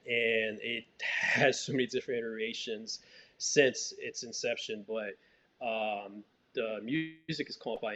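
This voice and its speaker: Haitian-born man, rambling with fillers, muffled. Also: on the low side. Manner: relaxed, rambling with many fillers and restarts. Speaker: filmmaker guest. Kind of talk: casual interview answers.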